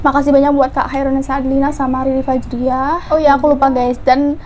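Young woman vlogger, high-pitched, casual chat into a lapel mic, faint noise behind. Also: little pitch movement, a little fast, a little mellow. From Central Java, Indonesia. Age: 20s. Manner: chatty, often tags lines with "guys". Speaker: mukbang vlogger. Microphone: clip-on lapel mic.

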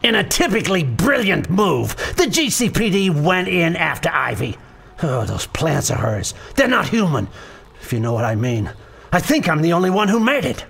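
A man playing a beleaguered, gruff, wisecracking city police officer in a video game. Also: a little high, very animated, moderate pace, slight background noise, exaggerated voice. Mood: sardonic. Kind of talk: dramatic video game acting. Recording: loud game music underneath.

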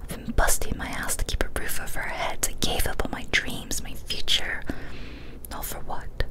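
A young woman whispers a horror story as an ASMR reading, soft, breathy and fully unvoiced, close to a studio microphone. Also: normal speed. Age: young adult. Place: small decorated room indoors. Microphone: large studio mic with foam cover, very close.

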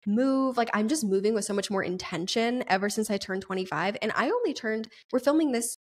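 A woman's voice: on the high side, a little fast. A woman in her mid-twenties co-hosting a podcast, rambling casually with many "like"s and frequent giggles. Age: 20s. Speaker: podcast co-host. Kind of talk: casual chat.

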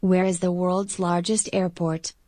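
A woman's voice from a language app, reading example sentences in an even, measured way. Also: lowish voice, no room sound, studio quality.

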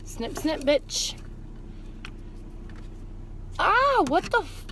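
A woman's voice: extremely high pitch, very animated, extremely slow. A young woman with an American accent chatting casually and rambling in a sassy, foul-mouthed way while driving, recorded inside a moving car. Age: young adult. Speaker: vlogger. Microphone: camera on the dashboard, arm's length away. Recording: noisy car interior, road noise.

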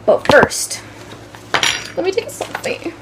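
A woman's voice: measured pace, recorded from a distance. A young woman hosting a playful, chatty cooking demonstration in a goofy, teasing, giggly manner. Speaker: vlogger hosting a cooking video. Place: kitchen. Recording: echoey, noisy room, off mic.

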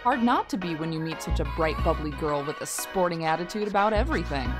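A woman's voice: low-pitched, expressive, quick pace. A woman reading scripted promotional narration as a narrator. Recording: loud music under the voice. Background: loud music.

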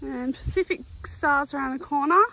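A woman in her thirties with an Australian accent, excitable and chatty, vlogging from a boat. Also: sits high, moderate pace, background noise. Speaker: sailing vlogger. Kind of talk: casual vlog narration. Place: outdoors on a boat deck. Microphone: phone at arm's length. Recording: loud background music.

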